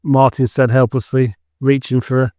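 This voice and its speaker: A man's voice: mid-range voice, little pitch movement, moderate pace, warm tone, no room sound, clean studio sound. A man giving scripted dramatic narration for an audio drama.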